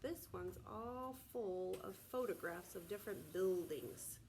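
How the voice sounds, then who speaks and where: A woman preschool teacher reading aloud to young children in a deliberate, child-directed way, often asking prompting questions. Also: medium pitch, lively intonation, background noise. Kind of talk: read-aloud and sing-song circle time for young children.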